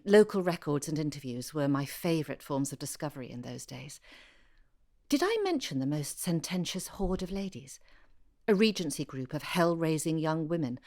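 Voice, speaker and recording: A woman's voice: deep voice, mellow. An actress playing a genteel, apologetic woman amateur historian in an audio drama, polite and musing as she voices her letters.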